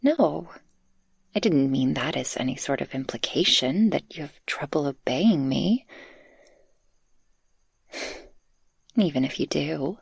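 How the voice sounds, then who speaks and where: A woman acts out a tender, possessive succubus guardian for an ASMR roleplay. Her voice is lowish and husky, with slow, intimate phrasing that often sinks toward a whisper. The sound is close and dry. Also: animated, no echo, full character voice. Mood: teasing, affectionate. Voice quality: breathy, husky. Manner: slow, soft, affectionate, intimate. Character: tender, possessive female succubus guardian, playful and shy in love.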